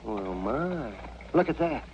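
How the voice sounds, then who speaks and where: Crotchety frontier doc, older, swooping indignant delivery, radio western, muffled noisy vintage recording. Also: male voice, medium pitch, sing-song, slow, darkish tone. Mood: wry, challenging. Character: cantankerous small-town frontier doctor, scolding and fussy. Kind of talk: old-time radio western acting.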